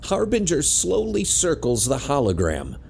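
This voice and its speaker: A man's voice: fairly high pitch, huge pitch swings, measured pace, gravelly, darkish tone, slight background noise, exaggerated voice. A man narrating an adventure audio drama in a dramatic, scripted storytelling style.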